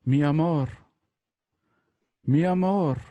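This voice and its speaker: A man's voice: a little high, slow, warm tone, lo-fi sound. An actor playing a man calling out to his lover in a radio drama. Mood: urgent, beckoning. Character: beckoning male lover calling from afar.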